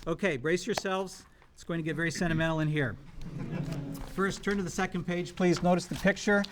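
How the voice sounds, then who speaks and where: A man speaking to a gathering as the host of a table read, announcing names and thanks one by one with pauses. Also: sits high, steady tone. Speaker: showrunner hosting a cast table read. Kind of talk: welcome speech and roll call read aloud to a gathering. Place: large room full of people at a live event. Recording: noisy room with loud crowd.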